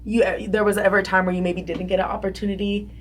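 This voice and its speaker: A woman's voice: medium pitch, level tone, fast. A woman in her twenties hosting a podcast, leading a casual interview in a chatty, slangy way and swearing freely. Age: 20s. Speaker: podcast host. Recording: voice a bit distant from the mic.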